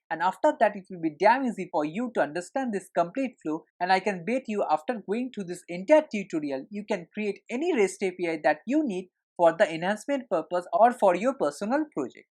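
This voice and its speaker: A woman's voice: mid-range voice, darkish tone. A woman narrating a screencast tutorial as an instructor, explaining things step by step in an instructional way.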